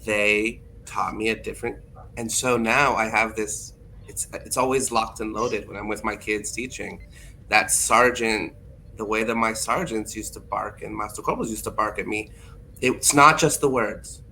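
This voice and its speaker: Gravelly, bright-toned man musing aloud. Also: fairly low pitch, fairly even intonation, moderate pace, a little hiss or hum, low-quality recording. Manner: thoughtful, hesitant, self-correcting. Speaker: teacher. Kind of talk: casual chat.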